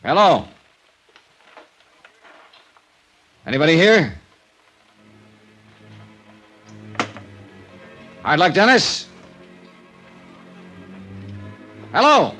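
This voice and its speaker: An actor playing a cool, wry, persistent insurance investigator in a 1950s radio detective drama, with a clipped, probing way of talking. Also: male voice, on the high side, huge pitch swings, slow, long pauses, sharp tone, faint music behind, full character voice. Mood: calling out. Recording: vintage radio recording.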